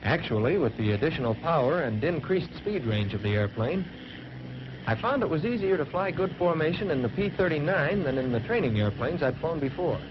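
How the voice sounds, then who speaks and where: An American man playing an earnest fighter pilot trainee, narrating a 1940s training film in measured, matter-of-fact phrases, on an old film soundtrack. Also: fairly high pitch, very animated. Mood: earnest, composed. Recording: muffled, noisy vintage 1940s film soundtrack.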